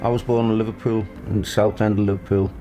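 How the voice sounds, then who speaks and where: Low-voiced man in his sixties reminiscing. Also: level tone, fast talker, over music. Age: 60s. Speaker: interviewee. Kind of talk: documentary interview.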